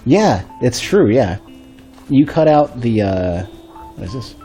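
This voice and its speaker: A male streamer with a deep, bass-heavy voice, a bit stuffy, chatting casually and thinking aloud while he plays. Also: sing-song, takes it slowly, warm tone, faint music behind. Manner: rambling, thinking aloud with restarts. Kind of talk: casual gameplay commentary. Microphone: desk microphone.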